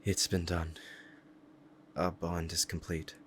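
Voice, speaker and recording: A man's voice: deep voice, completely flat delivery, slow, a little hiss or hum. A man playing a powerful demon devoted to a beloved master, speaking formally and earnestly in a romantic ASMR roleplay. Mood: tender, formal. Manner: formal archaic diction, earnest and reverent.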